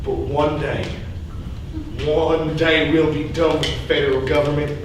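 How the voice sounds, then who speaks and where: In a very echoey, noisy theater recording, a man acts out a blustering pig farm boss. He speaks slowly, in emphatic folksy phrases, with the volatile, exasperated air of stage drama. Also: on the high side, level tone, recorded from a distance, natural voice. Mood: stern, insistent. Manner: folksy rural phrasing, emphatic, drawn-out delivery. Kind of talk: theatrical stage play acting. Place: theater stage, filmed live from a distance.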